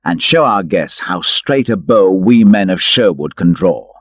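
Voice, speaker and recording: A man's voice: deep voice, expressive, measured pace, no echo. A man voicing a bold, loyal outlaw leader in an audiobook, proud, hearty and declamatory. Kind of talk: dramatic audiobook character voice.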